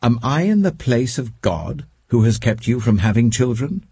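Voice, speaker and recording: A man's voice: medium pitch, very animated, normal speed, gravelly, a little mellow, slightly rough, dry recording, voice pushed up, full character voice. A man voicing an indignant, ardent male suitor in a dramatic scripture audiobook, with pleading, swooping emphasis.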